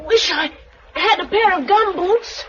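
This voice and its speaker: A woman's voice: very high voice, unhurried, bright, forceful tone, full character voice. A woman playing an eager, plucky young British girl in a 1950s radio drama. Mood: curious, plaintive. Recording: dull, noisy vintage radio recording.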